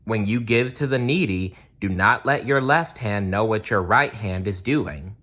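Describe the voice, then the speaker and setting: A man voicing a kind, wise old friend with an earnest, measured manner in a storybook. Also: fairly low pitch, a bit bright. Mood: wise, encouraging.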